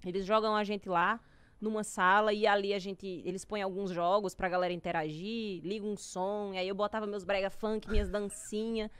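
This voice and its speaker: A woman in her twenties with a drawling way of talking, telling stories as a guest in a small podcast studio on a boom mic, lively, slangy and animated. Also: normal speed, clear, resonant tone, no echo. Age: 20s. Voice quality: drawling speech. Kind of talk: casual storytelling chat. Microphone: studio boom mic with headphones.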